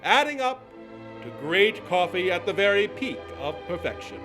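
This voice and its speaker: A man's voice: high-pitched, very animated, moderate pace, pushed and bright, soft background music, heavily acted. A man playing a polished, enthusiastic 1940s-style radio announcer, declamatory and emphatic. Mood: emphatic, rousing. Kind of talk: 1940s radio advertising and narration.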